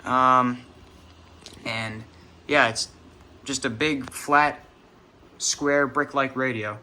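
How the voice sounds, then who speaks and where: A man's voice: mid-range voice, steady tone, drawn-out words, bright, forceful tone, a little hiss or hum. A man giving a casual, unscripted show-and-tell as a hobbyist vlogger, rambling, trailing off and correcting himself as he goes. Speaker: hobbyist vlogger presenting a radio collection.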